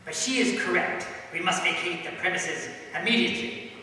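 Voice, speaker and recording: A man's voice: high voice, moderate pace, bright, forceful tone. A man playing a pompous, formally polite but craven villain in a detective radio play, with a mannered, melodramatic delivery. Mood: suave threat. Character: pompous, polite villain who turns craven when threatened. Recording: echoey hall, distant mic.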